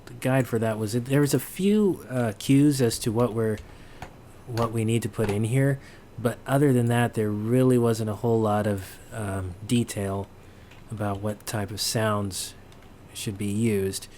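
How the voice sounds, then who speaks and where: A man's voice: fairly low pitch, a little slow, warm tone, slight background noise. A man presenting a casual sound design walkthrough, hesitant and thinking aloud as he goes. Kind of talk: casual screen-recorded walkthrough.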